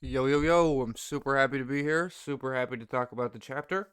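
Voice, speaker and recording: A man's voice: medium pitch, fairly quick, fairly bright tone, clean tone, no echo, clean studio sound. A man speaking as a guest in a casual fan discussion, agreeable and appreciative.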